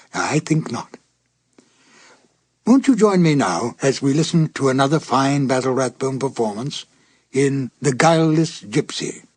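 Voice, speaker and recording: An older man narrates as host in a measured, storytelling manner. His voice is husky and sits a little high for a man. The recording is dry and intimate, and the delivery is formal and theatrical. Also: a little mellow, no echo. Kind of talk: scripted narration introducing vintage radio mystery episodes.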